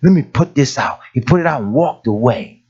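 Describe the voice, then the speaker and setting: A raspy-voiced male preacher whose pitch swings widely, dipping low and climbing high as he drives a point home. He preaches in a folksy storytelling way, and the recording sounds dull and lo-fi. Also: animated, brisk, darkish tone. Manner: folksy storytelling preaching, rising to emphatic peaks. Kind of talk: sermon.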